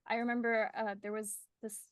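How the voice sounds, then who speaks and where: Brief: young artist guest, woman with an American accent, fairly high voice, friendly and laughing, dry sound. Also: little pitch movement, moderate pace, no room sound. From Colombia. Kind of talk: casual interview chat. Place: her art studio.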